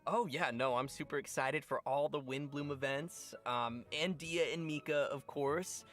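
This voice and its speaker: A man's voice: fairly high pitch, normal speed, fairly bright tone, faint music behind. A man hosting a promotional program with upbeat, casual presenter chat. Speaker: host of a game promotional program.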